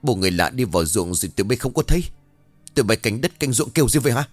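A male storyteller puts on a hot-headed son out for revenge in a ghost story. He snarls and shouts with wide pitch swings in a Southern Vietnamese accent, over background music. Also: lively intonation, a little fast, no echo. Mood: angry, demanding. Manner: snarling, shouting accusations through clenched teeth. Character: hot-headed vengeful grieving son, young village man.

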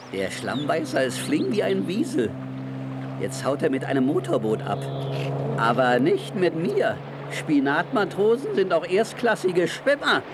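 A man's voice: on the high side, noisy background. A man playing a feisty, boastful cartoon sailor in a comic children's radio play, exclaiming and thinking aloud to himself.

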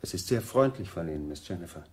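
An actor playing a courteous, dutiful butler in a German radio drama, speaking formally and deferentially. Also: male voice, sits low, animated, fast talker, warm tone, breathy edge.

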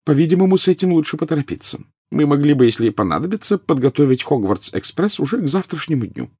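A brisk older schoolmistress from a fantasy storybook, acted out by a man. The pitch is lifted and lively, with a businesslike manner and a husky edge. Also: no echo, studio quality, heavily acted. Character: brisk, businesslike older female professor of magic.

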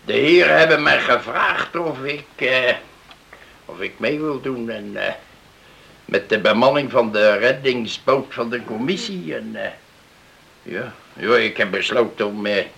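Radio play role: sceptical village man, gravelly and forceful, halting, mulls over every phrase. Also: mid-range voice. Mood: hesitant. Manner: halting, repeats himself. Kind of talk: radio drama acting.

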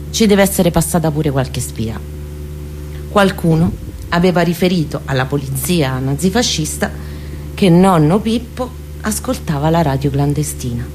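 Low-voiced Roman woman telling a story. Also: slight background noise. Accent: central Italian, Roman. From near Rome, Italy. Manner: expressive, intimate, deliberate phrasing with hushed moments. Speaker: monologue performer. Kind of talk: dramatic autobiographical storytelling monologue.

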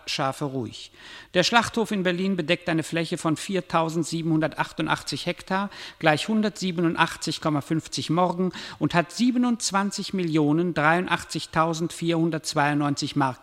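An actor playing a stern, detached narrating voice in a radio drama, declaiming solemnly in clipped phrases. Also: male voice, fairly high pitch, moderate pace, a bit bright. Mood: coldly factual. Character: stern, detached omniscient male voice addressing the hero. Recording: long echoing hall reverb.

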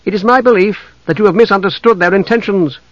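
A man voicing a steady, authoritative half-elf peacemaker in a fantasy audiobook, measured, persuasive and protective. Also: sits high, dry recording, lo-fi sound, voice pushed up. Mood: firm, diplomatic.

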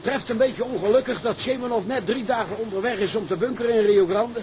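Seasoned ship's officer: high-pitched, unhurried, wry, radio drama, noisy engine background. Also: male voice, a little mellow. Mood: wry, fatalistic. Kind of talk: Dutch maritime radio drama acting.

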